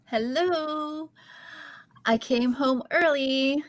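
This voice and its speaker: A woman acts out a quirky, awkward young sitcom woman. Her voice sits fairly high and she takes her lines very slowly, with an earnest manner. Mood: playful. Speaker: guest reading the lead part at a table read. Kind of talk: comedy script table read.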